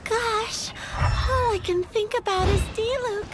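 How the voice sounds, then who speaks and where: Very high-voiced fretful young townswoman. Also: takes it slowly, over loud music. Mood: dreamy. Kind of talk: video game character dialogue.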